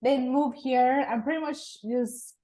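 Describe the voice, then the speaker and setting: A woman's voice: high-pitched, fairly even intonation, measured pace, warm tone. Woman from Mexico. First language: Spanish. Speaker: guest, real estate investor. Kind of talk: interview storytelling.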